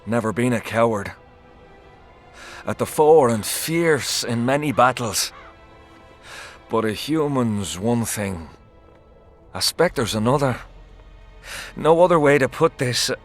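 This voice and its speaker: A man's voice: mid-range voice, animated, slow, pauses often, faint music behind. An actor playing a shaken, embittered common soldier in a video game, telling his story bluntly and dramatically. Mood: bitter, ashamed. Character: shaken, embittered low-ranking soldier, humiliated and haunted.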